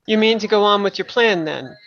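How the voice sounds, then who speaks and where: A woman's voice: medium pitch, lively intonation, brisk, fairly bright tone. Grave, kindly old male wizard for a storybook reading, played by a woman; dull, lo-fi sound. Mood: grave, measured. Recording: dull lo-fi microphone.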